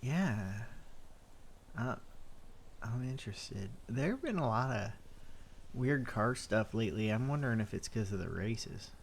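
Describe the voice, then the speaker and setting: A man's voice: on the low side, expressive, measured pace, vocal fry, long pauses, slightly rough, heavily acted. A man playing an awkward, easygoing guy in a roleplay game, who talks in hesitant, rambling loops and repeats himself. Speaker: roleplay character. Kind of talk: improvised roleplay chat.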